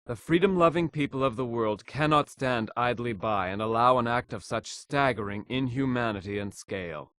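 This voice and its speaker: A man's voice: medium pitch, normal speed, hardly any pauses, a bit bright, clean tone, lightly acted. A man playing a lofty, solemn statesman delivering a political speech. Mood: solemn, indignant.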